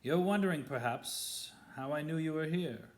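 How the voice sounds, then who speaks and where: A man's voice: fairly high pitch, animated, moderate pace, pitched above the performer's own voice. A man voicing a cold, spiteful male wizard professor who talks in a silky, sneering way. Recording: roomy echo.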